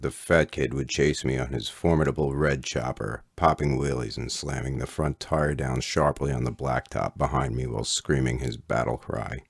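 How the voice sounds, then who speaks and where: A middle-aged man reading an autobiographical story as its author, in a measured, literate storytelling delivery. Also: extremely low pitch, gravelly, no room sound, clean studio sound. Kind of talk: scripted autobiographical narration.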